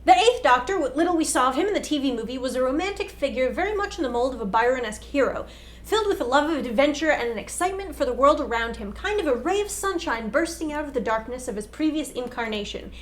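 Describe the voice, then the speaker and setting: A woman's voice: high voice, animated, quick pace. A young adult woman, co-hosting a scripted explainer to camera. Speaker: co-host. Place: small indoor room set with whiteboard.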